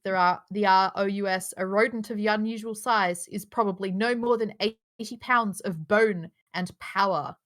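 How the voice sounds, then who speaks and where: A woman reads out screenplay directions in a middle-pitched voice. The delivery is scripted narration for an informal live table read, loose enough to allow casual asides. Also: fairly even intonation, normal speed, few pauses, a bit bright.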